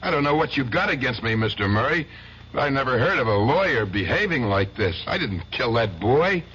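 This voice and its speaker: A defensive agitated suspect. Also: male voice, mid-range voice, big pitch swings, a little fast, a bit bright, breathy edge. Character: defensive, agitated acquitted male murder suspect. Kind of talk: 1970s radio drama acting. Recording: dull old radio recording.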